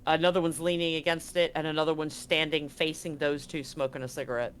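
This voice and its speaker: A man's voice: fairly high pitch, little pitch movement, fast, fairly bright tone, slight background noise. A man talking casually as the game master of a tabletop roleplaying game, describing scenes and rules. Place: live online game stream.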